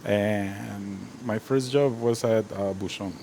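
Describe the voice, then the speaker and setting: Easygoing chef born in Guatemala, mellow. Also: male voice, lowish voice, fairly even intonation, takes it slowly, a little hiss or hum. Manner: easygoing, many "you know" fillers. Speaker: restaurant chef. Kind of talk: casual interview chat.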